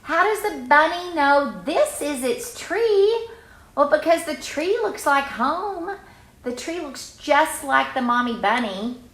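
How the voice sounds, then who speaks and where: A middle-aged woman reading a picture book aloud to children, expressive and exclaiming, with chatty conversational asides. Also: sits high, takes it slowly. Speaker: children's storyteller and drama teacher. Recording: roomy, echoey sound.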